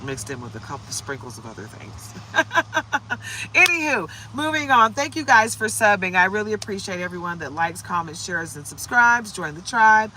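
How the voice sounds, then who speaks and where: Hoarse woman, tarot reader, chatty and upbeat, clear background noise. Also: mid-range voice, big pitch swings, moderate pace. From United States. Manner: chatty and upbeat, greeting viewers by name. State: hoarse from shouting. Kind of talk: casual livestream chat.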